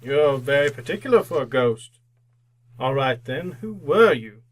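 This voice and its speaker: A man's voice: mid-range voice, animated, a little slow, poor audio. A man playing a miserly, cantankerous old businessman in an old-time radio drama, with a grumbling, theatrical, sputtering delivery. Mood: skeptical, defiant.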